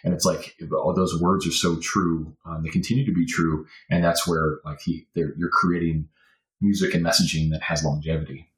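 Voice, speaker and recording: A man's voice: low-pitched, level tone, normal speed, reverberant, poor audio. A man talking as a podcast guest in casual, rambling talk with many fillers and restarts.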